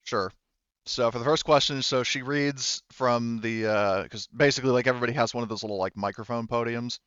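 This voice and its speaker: A man narrating a tabletop game casually as game master, in a halting, conversational way. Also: mid-range voice, fairly bright tone, clean tone, dry recording, highs cut off.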